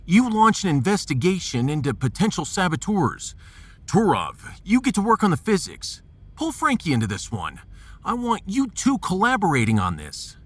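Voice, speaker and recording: A man playing a resolute starship captain in a sci-fi audio drama, commanding, earnest and measured. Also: sits high, heavily acted. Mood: firm, commanding.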